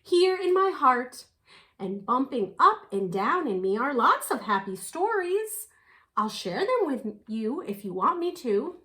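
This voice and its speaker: A woman voicing a gentle, eager little male owl in a storybook, earnest and childlike. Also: high-pitched, big pitch swings, a little slow, heavily acted.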